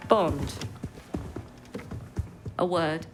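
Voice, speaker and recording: An actress playing an intelligence chief in a video game, formal, dry and authoritative. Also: female voice, low voice, drawn-out words, faint music behind. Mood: businesslike, commanding. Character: authoritative, dryly commanding female British spy chief. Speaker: intelligence chief briefing agents. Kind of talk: video game cutscene acting.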